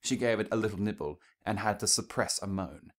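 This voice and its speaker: Low-pitched man narrating fiction. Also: a little fast.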